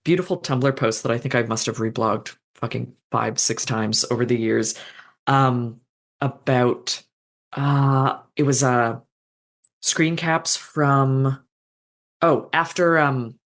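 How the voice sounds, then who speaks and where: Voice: mid-range voice, takes it slowly. A fanfiction author in a casual podcast conversation, thoughtful and meandering, with frequent fillers and restarts.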